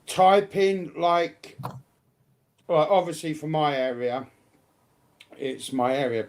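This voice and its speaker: Slow-talking man, fairly high voice. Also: darkish tone. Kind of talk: casual advisory chat.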